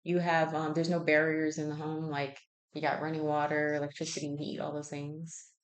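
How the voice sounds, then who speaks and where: A woman with a low-pitched voice conducts a health intake interview. She works through her questions in a conversational, methodical way, acknowledging and checking answers as she goes. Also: completely flat delivery, normal speed, poor audio.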